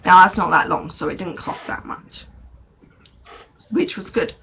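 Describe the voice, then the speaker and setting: Slow, gravelly young man. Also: high voice. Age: young adult. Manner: chatty, hesitant, many ums and small laughs. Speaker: vlogger. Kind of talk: casual vlog update. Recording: dull lo-fi recording with faint noise.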